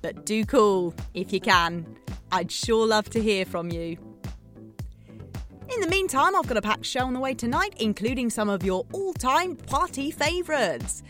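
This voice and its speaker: Woman playing a lively late-night radio DJ, higher-pitched. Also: a little slow, soft background music. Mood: eager, coaxing. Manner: theatrical, playful, coaxing listeners directly. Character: chatty, needy female late-night radio DJ, cheeky and eager. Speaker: radio host. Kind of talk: scripted radio drama, late-night DJ patter.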